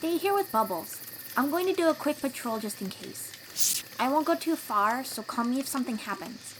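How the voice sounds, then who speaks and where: A woman playing a young, protective sea-dweller warrior in an audio roleplay, encouraging and gently teasing as she talks straight to the listener. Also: high voice, lively intonation, moderate pace, slight background noise, poor audio. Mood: watchful, reassuring.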